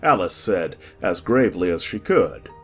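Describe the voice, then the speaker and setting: Very low-pitched woman narrating a storybook. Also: very animated, moderate pace, soft background music.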